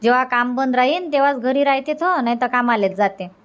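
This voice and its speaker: A woman's voice: high-pitched, fairly quick, lo-fi sound, natural voice. A woman voicing a homely, practical older village mother in a comedy cartoon, plain-spoken and polite, with a Varhadi Marathi accent. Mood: matter-of-fact. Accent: Varhadi Marathi from Vidarbha.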